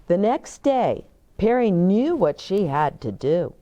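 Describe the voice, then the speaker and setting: Slow, expressive older woman storyteller. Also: medium pitch. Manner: deliberate, simple explanations as to small children. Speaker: children's storytime reader and host. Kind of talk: expressive picture-book read-aloud with asides to young children. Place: indoor bookshop-like set with shelves, seated in armchair.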